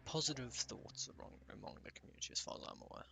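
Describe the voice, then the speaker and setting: A man giving casual let's-play commentary in a bored, grumbling manner. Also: racing. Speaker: game streamer.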